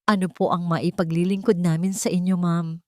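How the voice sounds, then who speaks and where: A woman's voice: lowish voice, little pitch movement, normal speed, a little mellow, no room sound, studio quality, close to an everyday voice. A woman storyteller voicing a protective, firm aunt from a humble household. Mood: polite, puzzled.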